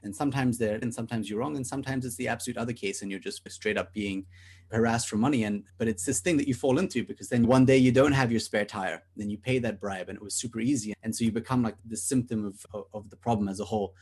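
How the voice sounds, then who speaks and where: Husky earnest young man, podcast co-host. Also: mid-range voice, fast, a little mellow. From South Africa. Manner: earnest, rambling with restarts. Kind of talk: casual podcast discussion.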